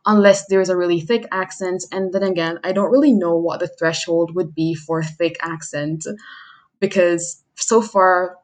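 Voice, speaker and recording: A woman's voice: lowish voice, fairly even intonation, normal speed. A young adult woman chatting casually and self-deprecatingly, as a livestream host introducing herself. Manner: self-deprecating, chuckles while talking. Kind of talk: casual chat monologue.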